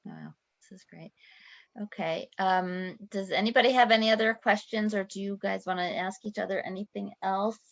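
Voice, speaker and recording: A woman's voice: medium pitch, steady tone, moderate pace, gravelly, no echo, muffled recording. A woman hosting a panel on a video call, chatty with many fillers. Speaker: event host. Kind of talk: moderating a panel.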